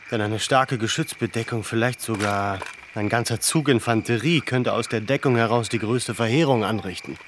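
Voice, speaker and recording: An actor playing a stern, cautious military commander in a German radio drama, speaking with measured, decisive authority. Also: male voice, mid-range voice, noisy background, close to an everyday voice. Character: stern, cautious male commander of besieged Armenian fighters.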